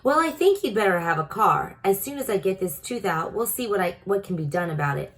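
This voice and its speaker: A woman voicing a kindly, excitable male dentist who tinkers, in a storybook reading. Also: mid-range voice, brisk, rough recording. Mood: kindly, proud.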